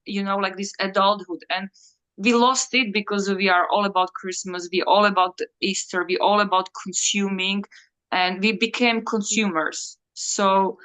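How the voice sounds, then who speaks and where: A woman whose first language is Slovak, talking in a reflective interview and often pausing and searching for words. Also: mid-range voice, steady tone, pushed and bright, poor audio. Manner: halting, searching for words, many fillers. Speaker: interview guest.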